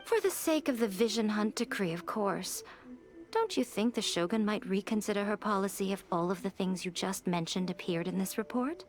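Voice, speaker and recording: A woman voicing a sly, elegant fox shrine priestess in an anime game, speaking in a silky, coy, measured and teasing manner. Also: mid-range voice, expressive, natural voice. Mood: pointed, knowing. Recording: music behind the voice.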